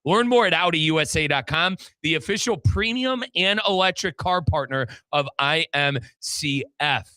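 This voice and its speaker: Bright, punchy male sports radio host. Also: on the high side, normal speed, few pauses, clear, resonant tone, no room sound. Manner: chatty, emphatic repetition, addresses listeners as folks. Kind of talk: sports talk show hosting with sponsor reads.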